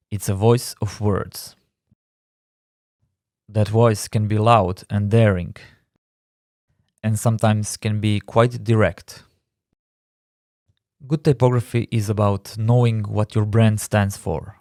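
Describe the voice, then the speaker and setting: Croatian-accented man explaining design calmly. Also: on the low side, dry recording, clean studio sound. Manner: measured, explanatory, slightly non-native phrasing. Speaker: design instructor. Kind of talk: scripted tutorial narration.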